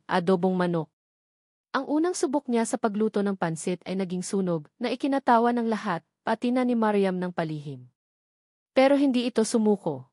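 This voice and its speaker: A woman narrator reads a scripted love story. Her voice sits at an average pitch for a woman. The sound is dry, with hardly any room in it. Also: warm tone, no echo.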